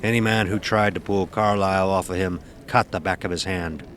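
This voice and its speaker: An actor playing an old-time sailing-ship officer, telling a sea tale in salty, archaic nautical speech for an audio drama. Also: male voice, low-pitched, fairly even intonation, a little fast, fairly bright tone. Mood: storytelling. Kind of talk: dramatic first-person audio horror narration.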